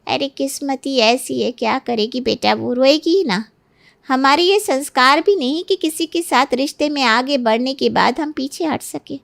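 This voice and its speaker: A woman's voice: high-pitched, normal speed, vocal fry, no echo. A woman voicing a scheming middle-aged mother of a bride in a cartoon, nagging and lecturing persuasively. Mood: sighing. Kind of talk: Hindi cartoon story acting.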